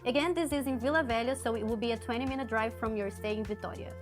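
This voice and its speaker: Woman voiceover for a travel guide, higher voice, friendly, faint music. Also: very fast. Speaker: travel vlog co-host.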